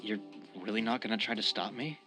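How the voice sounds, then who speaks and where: A man's voice: on the low side, brisk, over music, close to an everyday voice. A man playing a desperate, determined gangster out to protect his family, intense and threatening, in a crime radio drama. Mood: incredulous.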